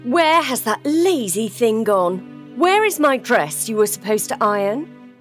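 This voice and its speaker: Woman acting a sneering, highish young stepsister. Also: big pitch swings, unhurried, soft background music. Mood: scornful. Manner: sneering, snobbish. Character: spiteful, vain young stepsister. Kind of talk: children's cartoon voice acting.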